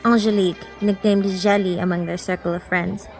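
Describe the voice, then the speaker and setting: A woman's voice: fairly low pitch, steady tone, fairly quick. A woman reading scripted story narration as an audiobook narrator, with background music. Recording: music behind the voice.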